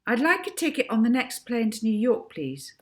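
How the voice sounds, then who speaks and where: A woman performs a confident young girl from a children's storybook, cheerful and bossy. The voice is lifted and lively, with expressive swings as she gives out her orders. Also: a little high, normal speed, pitched above the performer's own voice. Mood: brisk, polite.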